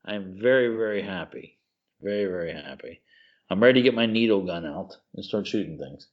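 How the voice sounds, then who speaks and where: A man co-hosting a podcast in casual chat, gushing and excitable, calling his friend "dude.". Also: low-pitched, brisk, lo-fi sound. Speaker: podcast co-host.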